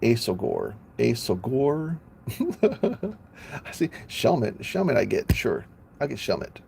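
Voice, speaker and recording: Man, live streamer, gravelly voice, mellow tone, playful, laughs a lot. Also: medium pitch, wildly swooping pitch, slow pace, slight background noise. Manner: playful, laughs often, stumbles over names. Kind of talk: casual live-stream chatter.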